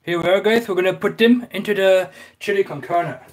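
Guy with a bit of an irish accent, pretty high voice, chatty cooking vlog in his kitchen, phone mic. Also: brisk, a little hiss or hum. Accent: slight Irish. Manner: chatty, addresses viewers as guys, many fillers. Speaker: cooking vlogger. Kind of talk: casual live cooking talk. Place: small home kitchen.

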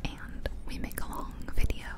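A woman speaks entirely in a soft, breathy whisper right up at the microphone. She chats in a gentle, informal ASMR style as host, musing her way through a video game with relaxed hesitation. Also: normal speed. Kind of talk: ASMR whispering, casual rambling commentary on a game. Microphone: close ear-to-ear ASMR microphone.

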